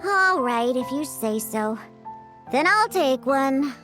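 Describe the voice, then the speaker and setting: A woman's voice: high voice, takes it slowly, exaggerated voice. A woman voicing an earnest, easily flustered, chatty young girl in a video game, with music behind her. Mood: hesitant. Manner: excitable, chatty, indignant. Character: earnest, flustered, high-voiced girl who mixes drinks. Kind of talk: animated video game voice acting.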